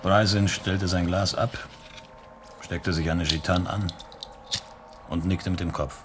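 A man's voice: deep voice, fairly even intonation, takes it slowly, music in the background. A man narrating a science-fiction detective radio play in a sober, detached, descriptive way.

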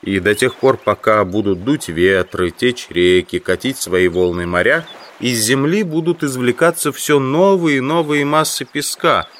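A man reads literary prose as an audiobook narrator. His mid-pitched voice moves widely up and down, giving the scripted first-person text an expressive shape. The phrasing is measured and careful.

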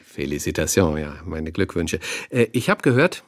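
A man's voice: very deep voice, sing-song, normal speed, dry recording, clean studio sound, heavily acted. A man playing a polite, attentive talk show host in a radio play, conversational and courteous. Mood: courteous, congratulatory.

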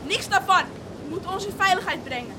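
Very high-pitched, commanding young rescuer. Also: female voice, animated, fast. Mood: urgent, defiant. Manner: urgent, bossy. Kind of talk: radio drama acting. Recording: very noisy storm and clamour.

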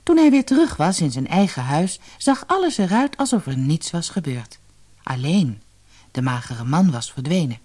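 Fairy tale audiobook reader, soft warm tone, really animated and expressive, telling it dramatically. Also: medium pitch, normal speed. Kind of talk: scripted storybook narration.

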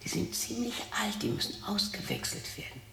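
An actress in her sixties playing a lonely, bitter, eccentric widow who talks to herself in a halting, sardonic, theatrical way in a radio drama. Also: medium pitch, expressive, creaky. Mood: muttering, brooding. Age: 60s. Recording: roomy echo with faint noise.

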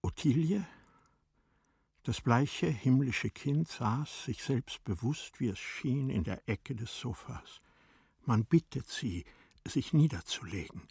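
A man narrating a tragic classic story in a radio play, in a solemn, measured, dramatic manner. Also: medium pitch, gravelly, darkish tone, breathy edge, dry recording, clean studio sound, heavily acted. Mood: solemn, tender.

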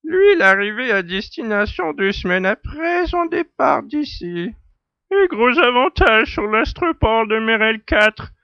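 An actor playing a jovial alien native in a science-fiction audio drama, explaining a cunning plan in measured phrases. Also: medium pitch, lively intonation. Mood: confident, explaining. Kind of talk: French amateur sci-fi audio drama acting. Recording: dull, roomy, radio-like recording.

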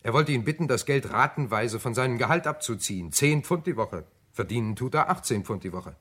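A man's voice: medium pitch, expressive, brisk, fairly bright tone, husky. An actor playing an eager, deferential British police assistant in a German radio crime drama, reporting to his superior in a colloquial, chatty way. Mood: animated reporting.

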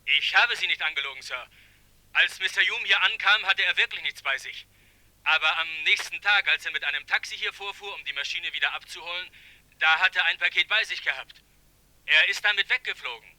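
Plain-spoken male airfield worker for radio drama: forceful, slightly high, close dry recording. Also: fairly quick, no room sound, rough recording. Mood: earnest. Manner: emphatic, respectful. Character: plain-spoken, emphatic, respectful male airfield ground crewman. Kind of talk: German radio crime drama acting.

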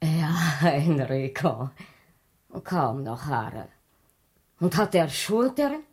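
A woman's voice: sits low, big pitch swings, extremely slow, darkish tone, breathy edge, heavily acted. Gossipy circus woman, swooping intonation. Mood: wistful, gossipy. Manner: gossipy, broken German. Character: gossipy middle-aged former circus snake dancer, theatrical and nosy. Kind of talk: radio play acting.

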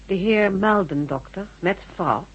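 An actress playing a polite, efficient nurse at a doctor's practice in a radio drama. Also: female voice, mid-range voice, a little slow, breathy edge. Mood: polite, businesslike. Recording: dull radio recording, faint noise.

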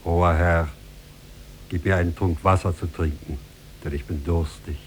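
A man's voice: sits low, steady tone, moderate pace, natural voice. An actor playing a wily, obsequious and insistent male stranger in a 1950s German radio drama, with an unctuous manner. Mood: wheedling. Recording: noisy humming 1950s radio recording.

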